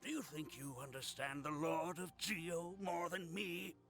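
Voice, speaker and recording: A man's voice: fairly high pitch, fairly even intonation, measured pace, fairly bright tone, faint music behind. A man voicing a zealous, hot-headed debater in a video game, blustering and confrontational. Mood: angry, challenging. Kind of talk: English game voice acting.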